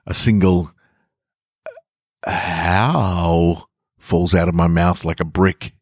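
A man reading as a bashful lovestruck guy, deep-voiced. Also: steady tone, drawn-out words, dry recording, clean studio sound, heavily acted. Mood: awestruck, nervous. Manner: awkward, stammering, awestruck. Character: shy, lovestruck young man narrating his birthday. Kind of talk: first-person short story reading.

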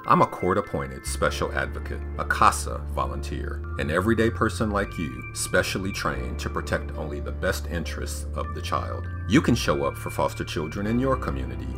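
Very deep-voiced man in a solemn PSA. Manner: earnest, solemn, quiet delivery. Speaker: public service announcer. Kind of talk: scripted public service announcement. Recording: radio spot with music bed.

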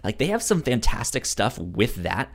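Halting, stuttering man in casual podcast talk. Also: mid-range voice, sing-song. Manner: halting, stuttering repetitions of syllables. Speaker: podcast host. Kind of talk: casual chat about a cartoon series.

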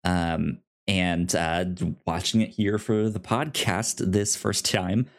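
A man co-hosting a casual movie discussion, enthusiastic, with halting delivery and repeated, stammered sentence starts. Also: low-pitched, fairly even intonation. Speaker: podcast co-host.

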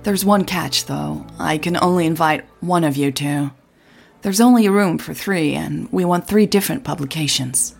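Woman voicing an eager, husky tech marketing man. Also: deep voice, normal speed, a little mellow, soft background music, exaggerated voice. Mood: persuasive. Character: eager, smiling male tech marketing contact, persuasive.

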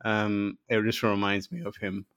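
A man tells stories in a low voice, laughing as he goes and acting out the people in them. The sound is dry and close, with no room around it. He speaks as a relaxed podcast guest. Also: a little slow, clean tone, no room sound. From Pakistan. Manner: laughing storyteller, mimics voices in anecdotes. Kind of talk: casual chat.

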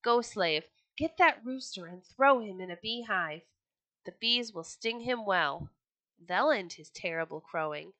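A woman's voice: mid-range voice, lively intonation, a little slow, sharp tone, no echo. A woman voicing a big, fat, bad-tempered male sultan who barks bossy orders. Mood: commanding.

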